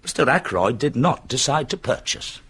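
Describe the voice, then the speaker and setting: An actor playing a polite, precise member of a household in a radio drama, speaking formally. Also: male voice, medium pitch, lively intonation, moderate pace, a bit bright, breathy edge. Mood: helpful, formal.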